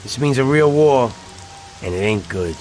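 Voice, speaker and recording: An actor voicing a tough, hot-tempered mobster in a video game cutscene, with a blunt, streetwise way of talking. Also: male voice, medium pitch, lively intonation, moderate pace, darkish tone, faint music behind, close to an everyday voice. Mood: bitter, weary. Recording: rain and noise behind.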